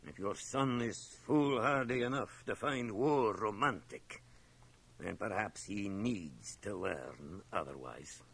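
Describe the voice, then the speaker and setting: A man playing a grave, stern father with a gravelly, husky voice in a radio drama. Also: fairly low pitch, slow pace, fairly bright tone, exaggerated voice. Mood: firm, grave. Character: grave, stern father ruling his household. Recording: 1980 radio drama from home cassette transfer.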